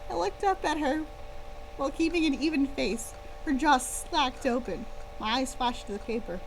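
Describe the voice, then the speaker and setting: A woman reading a first-person story aloud on a live stream, expressive and playful, with casual asides. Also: high voice, moderate pace. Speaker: author-style reader and streamer. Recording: clear background hum and noise.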